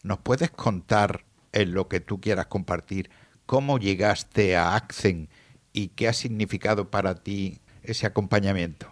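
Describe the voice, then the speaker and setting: A man's voice: sits low, unhurried. A man hosting a panel, courteously welcoming and questioning a guest. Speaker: host. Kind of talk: interviewing on a panel.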